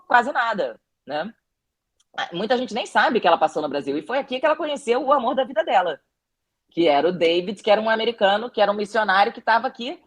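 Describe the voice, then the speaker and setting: Brazilian woman telling a story reflectively. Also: fairly high pitch. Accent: Brazilian Portuguese. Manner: reflective storytelling with frequent restarts. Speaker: documentary director. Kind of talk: podcast interview answers.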